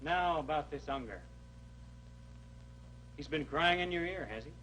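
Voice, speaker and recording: An actor playing an army motor sergeant who complains bluntly about a troublesome soldier. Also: male voice, a little high, a little slow, sharp tone, a little hiss or hum, rough recording. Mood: exasperated. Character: gruff exasperated male motor sergeant. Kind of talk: 1960s educational film acting.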